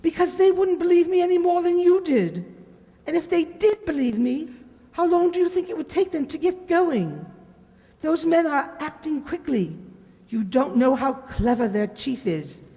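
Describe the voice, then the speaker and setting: A woman's voice: high-pitched, lively intonation, normal speed, dark tone. A woman playing a frightened, secretive professional spy in a radio drama, with urgent, breathless, dramatic pleading. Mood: grave, secretive. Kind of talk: old-time radio drama acting. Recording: reverberant stage-like radio play recording.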